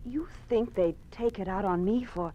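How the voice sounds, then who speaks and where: Actress as devoted fiancée, mid-range female voice, gentle, earnest, vintage radio drama, faint recording noise. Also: medium pitch, moderate pace, muffled recording, close to an everyday voice. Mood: anxious, pleading. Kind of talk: old-time radio drama acting.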